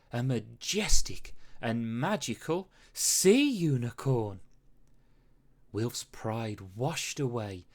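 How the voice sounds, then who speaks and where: A storyteller reading a rhyming children's story aloud with expressive, dramatic phrasing. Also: mid-range voice. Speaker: storybook reader. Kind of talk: children's read-aloud narration in rhyme.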